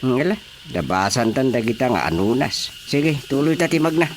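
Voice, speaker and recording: An actor playing an easygoing, comic rural father in an Ilocano radio drama, with drawn-out phrasing. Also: medium pitch, sing-song, a little hiss or hum, lightly acted. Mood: curious.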